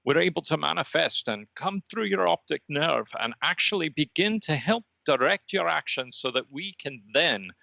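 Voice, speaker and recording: A man voicing an ominous, patient being of energy, speaking in a measured, solemn and portentous way on a comedy podcast. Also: on the high side, expressive, creaky, pushed and bright, no echo, highs cut off, full character voice. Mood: matter-of-fact, ominous. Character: ominous, patient red-dot energy being, cryptic prophet.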